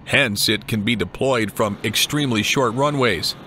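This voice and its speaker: A man reading a scripted documentary narration in an even, measured way. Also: medium pitch, slight background noise. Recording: voice-over with clear music behind.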